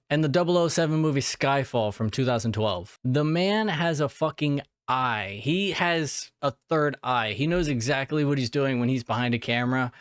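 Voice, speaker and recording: An excitable young man talks about movies on his own podcast, gushing and throwing in the odd swear word. His voice is a little higher than most men's and sounds smooth and resonant. It is recorded close and dry, with no room sound. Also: no echo. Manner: enthusiastic, gushing, profane asides. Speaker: podcast host. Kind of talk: casual solo film review.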